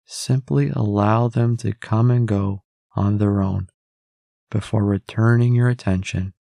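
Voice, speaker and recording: Slow, low-voiced male meditation guide. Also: gravelly, no room sound. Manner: calm, measured, instructional.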